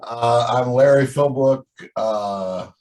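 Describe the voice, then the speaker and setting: A man from Texas introducing himself in a measured way on a video call. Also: mid-range voice, darkish tone. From Texas, United States. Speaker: meeting participant. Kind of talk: casual self-introduction.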